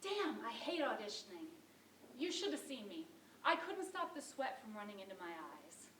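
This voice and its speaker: Woman performing exasperated actress monologue, very lively pitch. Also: moderate pace. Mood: exasperated, wry. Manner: dramatic storytelling with emphatic quotes. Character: exasperated, wry struggling actress recounting a failed audition. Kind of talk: theatrical monologue. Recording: roomy distant mic, faint noise.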